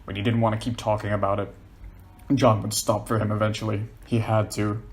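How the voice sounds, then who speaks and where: A man's voice: fairly low pitch, little pitch movement, fairly quick, faint music behind. A man reading scripted fiction aloud as the narrator.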